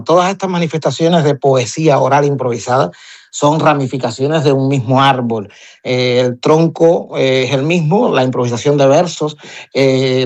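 A middle-aged Cuban man, an improvising poet, answering interview questions in an animated way with frequent restarts. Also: medium pitch. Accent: Cuban Spanish. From Cuba. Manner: animated, lots of repeated restarts.

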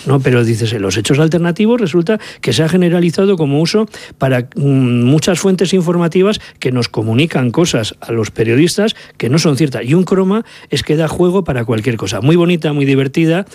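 A man's voice: on the high side, expressive, brisk, hardly any pauses, a little mellow, dry recording. A middle-aged male journalist with a Basque accent from Bilbao, answering interview questions in a reflective, anecdotal way at a studio microphone. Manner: reflective, anecdotal, laughs easily. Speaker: journalist guest. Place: studio at a narrow table with a chroma backdrop. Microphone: table microphone.

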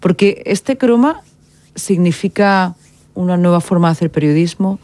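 A woman's voice: fairly low pitch, animated, moderate pace, dark tone, no echo. A middle-aged woman interviewing a guest on radio, asking questions with many fillers and tag questions at a studio microphone. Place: studio at a narrow table with a chroma backdrop. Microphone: table microphone.

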